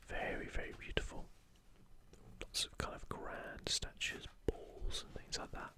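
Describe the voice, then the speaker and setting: An ASMR host whispering softly in a breathy, unvoiced voice, giving unhurried, rambling commentary. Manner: hushed, unhurried, rambling asides.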